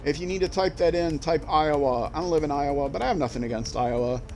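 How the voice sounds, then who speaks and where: A man's voice: on the high side, quick pace, a little mellow, faint music behind. An older man from Minnesota with a Minnesota accent, rambling and self-deprecating as he streams a driving game. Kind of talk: casual gameplay commentary.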